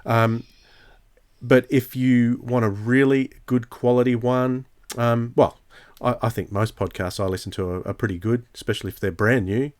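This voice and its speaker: A man's voice: mid-range voice, fairly even intonation, normal speed, a little mellow. A man, co-hosting a podcast in a casual, chatty style. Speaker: podcast co-host. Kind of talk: casual chat.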